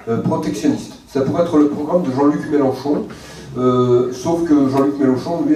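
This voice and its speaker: A man giving a measured lecture to an audience, often pausing on hesitant fillers. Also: mid-range voice, warm tone. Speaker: guest speaker. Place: lecture hall. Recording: very echoey hall, mic far off.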